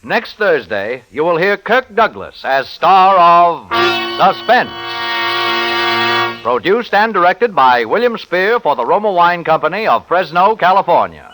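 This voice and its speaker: A man's voice: high-pitched, very animated, a little slow, over music, low-quality recording. An American man announcing in a formal, polished 1940s radio style, selling for a sponsor on a vintage recording. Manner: formal, polished sales delivery.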